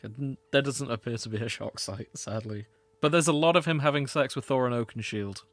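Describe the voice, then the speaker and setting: A man's voice: medium pitch, fairly quick. A man co-hosting a podcast in casual comedic chat, with a dry, matter-of-fact manner. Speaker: podcast co-host.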